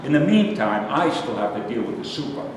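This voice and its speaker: A man's voice: very animated, fairly quick, close to an everyday voice. A man playing a bitter, griping, foul-mouthed older army veteran in a staged play reading. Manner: aggrieved, profane ranting. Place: indoor live staged reading. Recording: echoey hall, distant mic, rumbling noise.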